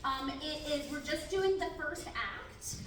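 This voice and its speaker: Very high-voiced chatty woman. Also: slow, noisy background. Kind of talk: introducing a table reading. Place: large classroom. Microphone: far camera. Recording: echoey room from far off.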